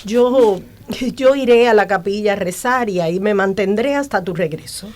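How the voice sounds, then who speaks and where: Dignified older matriarch played by an actress, medium pitch. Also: female voice, normal speed, darkish tone, close to an everyday voice. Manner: formal, matriarchal. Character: devout, dignified older aunt and mother. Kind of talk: radio drama dialogue.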